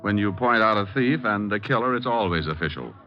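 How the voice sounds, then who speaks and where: A male actor as a cocky hard-boiled American private detective from a 1940s radio noir. His voice is low, wry and clipped, on a dull vintage radio recording. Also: expressive, a little fast, lightly acted. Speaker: lead actor and narrator.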